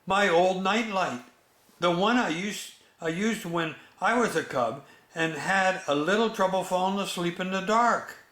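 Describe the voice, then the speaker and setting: A fatherly storybook bear played by a man reading aloud explains things patiently and reassuringly. The pace is very slow and the pitch a little high for a man, in an echoey room. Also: a bit bright. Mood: reminiscing. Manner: patient, instructive.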